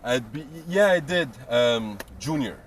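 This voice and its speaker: A young man from Montreal chatting as a guest rapper in a casual interview, talking in a relaxed, rambling way with lots of fillers. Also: medium pitch, unhurried. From Montreal, Canada. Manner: laid-back, rambling, many fillers and restarts. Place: outdoors on a city street. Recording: distant mic, loud street noise.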